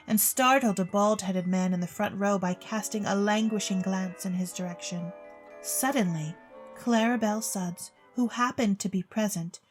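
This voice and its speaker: Expressive woman narrating a story. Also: medium pitch.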